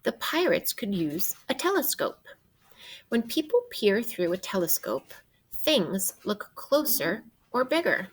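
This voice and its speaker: Husky woman reading aloud, slow and expressive. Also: mid-range voice. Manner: expressive, guiding with questions and pauses for young listeners. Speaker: teacher reading aloud to children. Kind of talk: interactive read-aloud of a children's nonfiction book.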